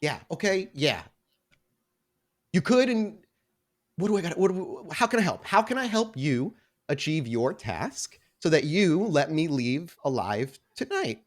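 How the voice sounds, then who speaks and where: A man's voice: fairly high pitch, sing-song, measured pace. A man voicing a nervous, wisecracking small-time vampire thief in a tabletop game, rambling and pleading in slang. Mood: anxious pleading.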